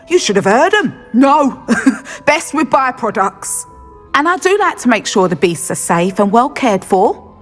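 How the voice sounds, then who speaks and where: An actress playing a chatty, gossipy female shopkeeper of magical beast goods in a video game. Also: fairly high pitch. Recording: long reverb, faint music behind.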